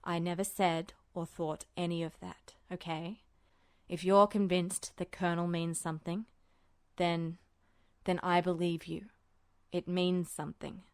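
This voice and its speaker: A woman voicing a calm older man who speaks quietly and steadily, reassuring, in an audiobook-style story reading. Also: on the low side, measured pace, clean tone, dry recording, pitched below the performer's own voice. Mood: quiet, steady. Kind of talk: audiobook fan fiction reading.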